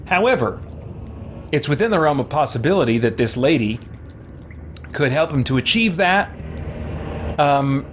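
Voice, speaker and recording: A man doing casual, halting game commentary, thinking aloud as he roleplays for a stream. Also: fairly high pitch, lively intonation, a little hiss or hum. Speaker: gaming streamer.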